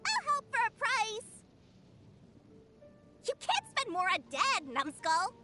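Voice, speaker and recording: A woman's voice: very high voice, huge pitch swings, takes it slowly, sharp tone, faint music behind, full character voice. A woman voicing a small, greedy, boastful girl merchant genie in an anime video game, with theatrical, wheedling salesmanship. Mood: brash, bossy.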